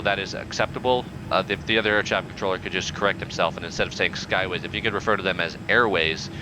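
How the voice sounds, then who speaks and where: A young man playing a pilot in a flight game, using formal radio phrasing in a deadpan, increasingly exasperated way over a headset. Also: lowish voice, background noise. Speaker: pilot player in a flight sim. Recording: game audio in background.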